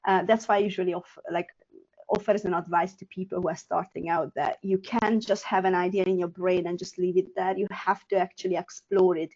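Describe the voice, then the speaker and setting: A woman's voice: on the low side, flat delivery, a little fast, a little mellow. Earnest Hungarian-born woman on a video call. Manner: earnest, reflective, with frequent fillers and restarts. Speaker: guest founder. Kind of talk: casual storytelling on a video call. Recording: muffled video call audio.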